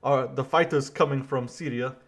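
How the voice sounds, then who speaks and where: A man's voice: mid-range voice, steady tone, normal speed, pitched below the performer's own voice, lightly acted. A man putting on a poor French accent to play a stiff, earnest male leader. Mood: mock-serious.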